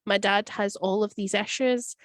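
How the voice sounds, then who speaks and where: A Scottish woman in her thirties whose voice is husky from a cold. She talks in a chatty, wry way and acts out the people in her stories. The sound is dry and close, with no room around it. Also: mid-range voice, fairly even intonation, moderate pace, dry recording. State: husky voice from a cold, sniffling and coughing. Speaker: guest. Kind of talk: casual interview answers. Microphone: video call.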